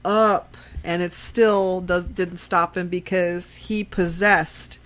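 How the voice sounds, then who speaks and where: A woman's voice: on the low side, steady tone, takes it slowly, a bit bright, a little hiss or hum, low-quality recording. A woman co-hosting a podcast in casual, conversational chat. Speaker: podcast co-host.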